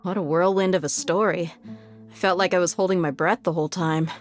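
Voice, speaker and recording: A woman's voice: fairly low pitch, steady tone, soft background music, natural voice. A woman playing a brash mercenary leader. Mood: upbeat. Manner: brisk, commanding, upbeat. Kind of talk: video game cutscene.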